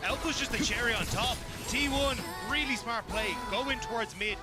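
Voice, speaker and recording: A man's voice: very high-pitched, moderate pace, loud music behind the voice. A man giving live analytical commentary on an esports match, explaining plays as the colour caster.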